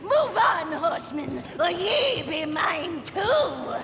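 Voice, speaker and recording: A woman's voice: very high-pitched, very animated, slow, crowd noise, heavily acted. A woman voicing a greedy, hateful monstrous villainess in a video game, taunting and theatrical. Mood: mocking, gloating. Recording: echoey hall, loud noise behind.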